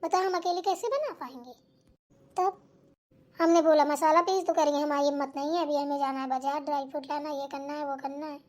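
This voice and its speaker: Woman voicing a very high-pitched, gossipy cartoon village housewife. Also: fairly even intonation, fairly quick, natural voice. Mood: complaining. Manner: gossipy, colloquial, complaining. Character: chatty village daughters-in-law and nagging mother-in-law, grumbling. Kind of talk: Hindi village family cartoon dialogue.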